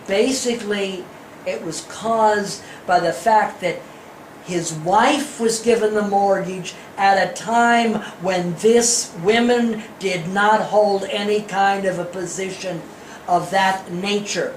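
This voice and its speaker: Slow-speaking woman in an echoey room. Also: medium pitch. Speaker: audience member giving feedback on a play. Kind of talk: deliberate spoken critique in a group discussion. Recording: echoey room with faint noise.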